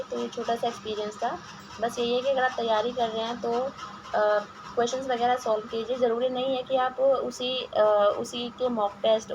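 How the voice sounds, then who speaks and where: A hoarse woman with a sore throat, teaching exam-prep questions and answers with frequent hesitations and restarts, mixing English words into her talk. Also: fairly high pitch. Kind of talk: reading quiz questions and answers, casual explanation. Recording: lo-fi home recording with noise.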